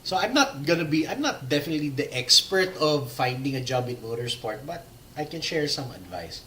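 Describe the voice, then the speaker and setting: Guy losing his voice mid-talk, lots of uhs and restarts, bright voice, faint hum behind. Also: a little high, sing-song, a little fast. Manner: rambling, with many fillers and restarts. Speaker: presenter giving a talk on working in motorsport. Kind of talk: live-streamed slide presentation with audience questions.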